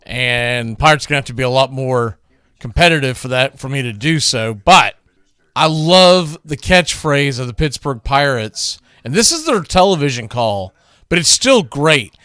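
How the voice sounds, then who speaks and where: Smooth-voiced man hosting a sports show. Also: medium pitch, expressive, moderate pace, sharp tone, dry recording, studio quality. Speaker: sports radio host. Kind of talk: casual baseball commentary introducing archive calls.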